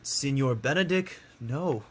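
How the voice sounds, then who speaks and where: A courteous older nobleman as a man plays him speaks in a husky, mid-pitched voice. The manner is formal and hospitable, in the style of a Shakespearean radio play. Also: animated, measured pace. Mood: genial. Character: courteous, genial older nobleman, gracious host.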